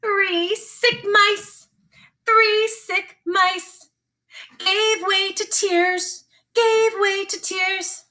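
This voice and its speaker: Bright, high-voiced woman reading to children. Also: fairly even intonation, extremely slow. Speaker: teacher reading aloud. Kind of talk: sing-song children's read-along narration.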